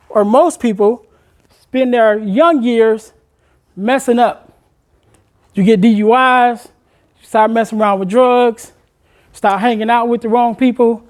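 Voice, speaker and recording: A man, a motivational speaker addressing a room of high school students, asking them questions and urging them to take part. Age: 40s. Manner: engaging the audience with questions, conversational and encouraging.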